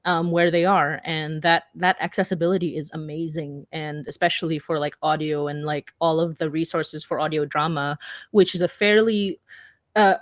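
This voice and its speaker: A woman's voice: low voice, steady tone, normal speed, no room sound, clean studio sound. A woman from the Philippines speaking casually and informally as a podcaster on a panel, with a self-deprecating way of talking. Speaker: audio drama podcaster and panelist.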